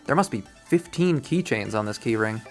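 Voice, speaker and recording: A person reading visual novel narration aloud in an expressive storytelling manner. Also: medium pitch, normal speed, soft background music. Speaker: video game reader.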